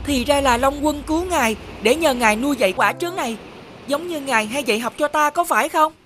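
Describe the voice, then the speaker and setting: Earnest child prince played by a woman, high and dutiful, animated folk tale. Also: a little fast, noisy background, lightly acted. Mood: curious. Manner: polite, childlike. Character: earnest young boy prince, polite and dutiful. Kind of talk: animated fairy tale dubbing. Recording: background music under the voice.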